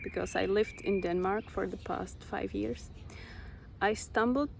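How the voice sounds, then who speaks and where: A young Czech woman with a Czech accent, slowly sharing a personal spiritual story with many pauses. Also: medium pitch, fairly even intonation, creaky, background noise. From Czech Republic. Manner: slow, reflective, pausing often. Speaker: vlogger.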